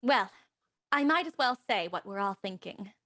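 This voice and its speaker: An actress playing a bold, flirtatious house guest in a period mystery radio play, with a teasing, theatrical manner. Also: female voice, medium pitch, lively intonation, normal speed, bright, forceful tone. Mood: pointed.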